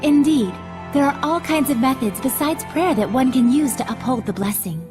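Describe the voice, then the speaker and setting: A woman's voice: high voice, animated, moderate pace. An actress playing a serene, instructive female spirit with formal speech in a fantasy game. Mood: poised. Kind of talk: dubbed fantasy game acting. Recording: music behind the voice.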